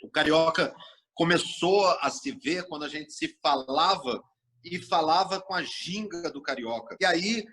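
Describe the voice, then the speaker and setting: Animated Brazilian journalist, husky bright voice. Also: male voice, on the high side, a little slow, low-quality recording. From Brasília, Brazil. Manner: expansive, animated storyteller, acts out quoted speech. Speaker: TV journalist, interview guest. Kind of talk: casual interview talk.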